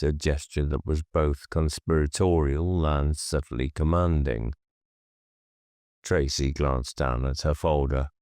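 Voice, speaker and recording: Male audiobook narrator: very deep, unhurried, deliberate horror storytelling in a dry recording. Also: darkish tone, clean tone, no room sound. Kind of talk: scripted horror fiction narration.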